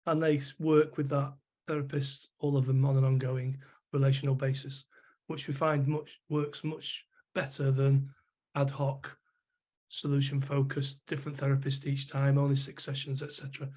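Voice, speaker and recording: Husky-voiced man with a flat tone. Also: mid-range voice, moderate pace. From England. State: rough voice from a chest infection. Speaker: psychotherapist guest. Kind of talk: interview answers.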